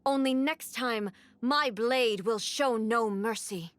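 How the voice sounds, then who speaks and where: A woman's voice: a little high, takes it slowly. A woman voicing a composed, regal young female ruler in a video game, speaking formally and with command. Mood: stern. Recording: game audio with soft music.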